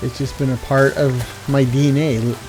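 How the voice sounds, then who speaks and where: A man's voice: mid-range voice, flat delivery, moderate pace, music in the background, rough recording. A middle-aged American man chatting casually and at length, wandering off track and correcting himself as he talks. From USA. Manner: meandering, self-correcting, reflective. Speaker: video game streamer. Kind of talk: rambling casual chat.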